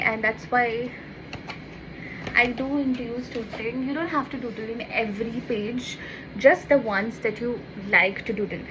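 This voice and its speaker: A woman's voice: fairly high pitch, background noise. A young woman from Bengal with a Bengali accent, chatting casually to camera in a lifestyle vlog while showing journal pages. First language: Bangla. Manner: chatty, repeats "see" and "so yeah", shows pages as she talks. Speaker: lifestyle vlogger.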